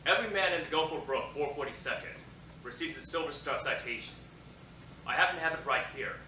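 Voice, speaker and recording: Man acting bright, impassioned young soldier, roomy stage. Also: fairly high pitch, quick pace. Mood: solemn. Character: proud, bitter young Japanese American World War II soldier. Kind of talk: staged reading of a play, dramatic and declamatory. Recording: roomy, distant theatre recording, faint noise.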